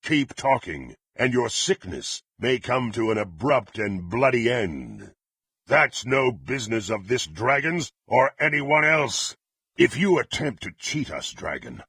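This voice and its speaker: An actor playing a brutal, bloodthirsty fantasy warrior in a video game, haughty and threatening, with a gruff, raspy voice and formal archaic diction. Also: male voice, medium pitch, bright, forceful tone, no room sound, studio quality, exaggerated voice. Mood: indignant threatening. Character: brutal, menacing, bloodthirsty male warrior, contemptuous and impatient. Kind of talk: theatrical fantasy game voice acting.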